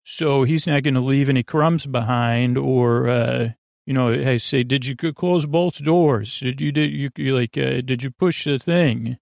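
A man in his forties with a gravelly, creaky voice, hosting a sleep podcast in a meandering, halting monologue that trails off and circles back. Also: mid-range voice, darkish tone, dry recording, studio quality. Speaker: podcast host. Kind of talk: rambling bedtime monologue to help listeners sleep.

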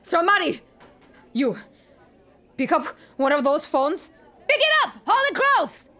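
An actress playing a volatile, theatrical TV producer in an audio drama, with an emphatic, profane, menacing way of talking. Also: female voice, high voice, sing-song, measured pace, sharp tone, full character voice. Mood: menacing, frantic. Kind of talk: audio fiction acting.

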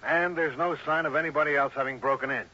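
An American actor playing a tough, brusque, impatient police sergeant in a 1960s radio drama. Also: male voice, a little high, steady tone, quick pace, bright, forceful tone. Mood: brisk, impatient. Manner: brusque, clipped, commanding. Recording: dull vintage radio recording.

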